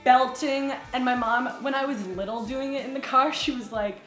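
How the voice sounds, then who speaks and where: A woman's voice: high-pitched, steady tone, moderate pace, a bit bright. A young adult woman, a podcast guest and singer, chatting casually and laughing often. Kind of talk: casual chat. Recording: roomy room with faint music.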